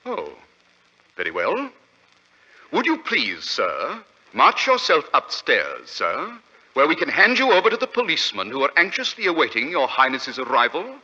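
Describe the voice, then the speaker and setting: A cool-headed British detective in a 1940s radio mystery. His delivery is crisp, authoritative and sardonic, with hugely animated pitch. The voice cuts forcefully through a dull, noisy old broadcast recording. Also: normal speed, big-room sound, heavily acted. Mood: brisk, sardonic. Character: commanding, sharp-witted male London detective, sardonic and cool-headed. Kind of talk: 1940s radio drama acting.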